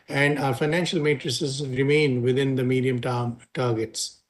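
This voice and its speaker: A man with an Indian accent delivering prepared financial remarks as a company executive, formal and measured. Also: mid-range voice. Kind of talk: prepared earnings call remarks.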